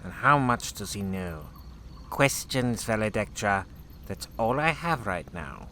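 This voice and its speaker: A man's voice: lowish voice, very animated, unhurried, pushed and bright, a little hiss or hum, exaggerated voice. A man playing an anxious, self-important chief officer in a sci-fi audio drama, agitated and pompous. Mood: agitated worry. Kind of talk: full-cast sci-fi audio drama acting.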